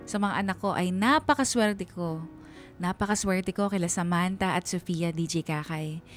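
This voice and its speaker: A woman's voice: on the low side, moderate pace, faint music behind, natural voice. A woman playing a reflective single mother who narrates her own story in a radio drama. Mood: tender, reflective. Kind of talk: dramatized first-person narration.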